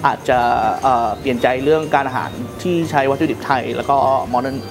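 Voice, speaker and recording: A male executive chef in his thirties, from Thailand, speaks to camera in a calm, measured way, slipping in English terms. A clear music bed plays under his voice in the kitchen. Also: medium pitch. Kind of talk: to-camera introduction. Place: restaurant kitchen indoors. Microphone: lapel.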